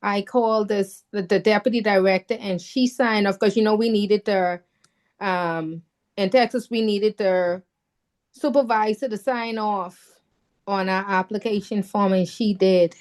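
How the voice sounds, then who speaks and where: Animated woman with an island background. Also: mid-range voice, measured pace. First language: not English. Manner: animated, emphatic storytelling. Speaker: newly licensed counselor. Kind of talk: casual chat on a video call.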